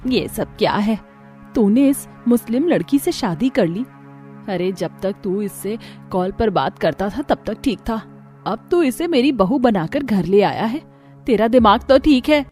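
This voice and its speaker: A woman's voice: mid-range voice, expressive, measured pace, a little mellow, soft background music, close to an everyday voice. A woman voicing a harsh, indignant middle-aged mother-in-law in a cartoon story. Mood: outraged.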